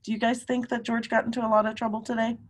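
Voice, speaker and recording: A middle-aged woman, a teacher, reads a children's story aloud expressively and emphatically, pausing to question and explain. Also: fairly high pitch, level tone. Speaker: teacher reading aloud to students. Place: small room at home.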